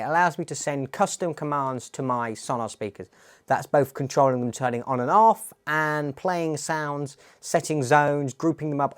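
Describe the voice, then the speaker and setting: Man explaining a tech project step by step. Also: fairly high pitch. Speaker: tech tutorial presenter. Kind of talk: explanatory demo narration.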